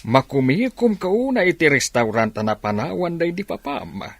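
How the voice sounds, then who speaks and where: A man playing an easygoing, teasing uncle in an Ilocano radio drama, with exclamations and drawn-out words. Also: fairly high pitch, big pitch swings, dry recording. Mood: persuasive.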